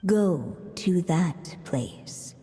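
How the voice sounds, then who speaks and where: A woman voicing a calm, mysterious storybook narrator in a video game, measured and lyrical, with echoing reverb over music. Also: fairly low pitch. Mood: mysterious, serene. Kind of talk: scripted fairy-tale narration in a game.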